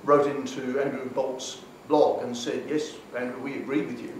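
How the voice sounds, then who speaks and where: A man's voice: medium pitch, level tone, darkish tone, distant mic. Brief: hesitant man speaking from across a big echoey hall, audible hum of the room. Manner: halting, many fillers and restarts. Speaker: conference speaker. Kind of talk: conference panel discussion. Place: conference hall.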